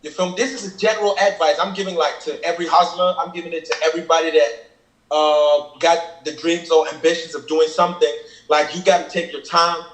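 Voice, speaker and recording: A man's voice: fairly high pitch, fairly even intonation, fairly quick, a bit bright. Guy, kenyang is his first tongue, breaks down his bars, keeps saying you feel me, kinda echoey. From Cameroon. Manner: earnest, explains at length, keeps asking "you feel me". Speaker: rapper explaining his lyrics. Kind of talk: casual reaction chat. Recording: echoey room.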